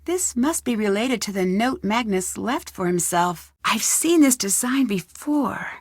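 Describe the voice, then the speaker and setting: A woman's voice: medium pitch, lively intonation, unhurried, slightly rough, dry recording, clean studio sound. A young woman voicing a curious, plucky amateur detective in an adventure video game, musing to herself inquisitively. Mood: thoughtful, practical. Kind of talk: scripted adventure game voice acting, talking to herself.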